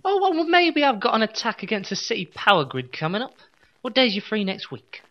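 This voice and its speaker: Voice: mid-range voice, sing-song, fairly quick, muffled recording. An actor playing a weary, self-pitying evil-genius doctor in a comedy audio drama, grumbling with resigned melodrama. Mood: resigned, casual.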